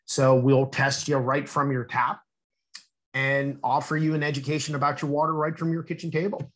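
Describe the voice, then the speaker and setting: A man's voice: mid-range voice, flat delivery, moderate pace, fairly bright tone. An American man reading a children's book aloud in a teacherly way, explaining and asking his young listeners questions.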